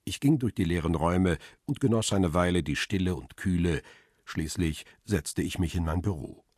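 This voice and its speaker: Deep husky guy narrating a radio crime drama, calm and steady, dry close mic. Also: moderate pace, no room sound. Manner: calm, steady, reflective storytelling. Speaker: first-person narrator, the photographer. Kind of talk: scripted radio play narration.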